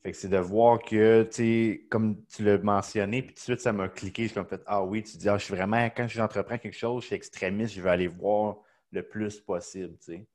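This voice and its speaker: A man's voice: on the low side, fairly even intonation, brisk, darkish tone. A man hosting a casual podcast, eager and chatty with many fillers. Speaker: podcast host.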